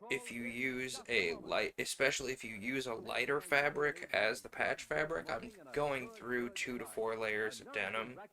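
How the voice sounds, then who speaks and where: A man streaming from home, chatting casually and thinking aloud while he mends jeans. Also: medium pitch. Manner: relaxed and rambling, thinking aloud. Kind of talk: casual chat. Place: home, indoors.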